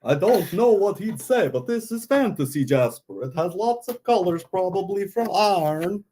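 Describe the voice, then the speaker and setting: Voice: mid-range voice, sing-song, measured pace. A performer voicing a cheeky, grumbling puppet sidekick with theatrical, mock-indignant comic sales patter. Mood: pompous explaining. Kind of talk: comic live-sale banter and patter.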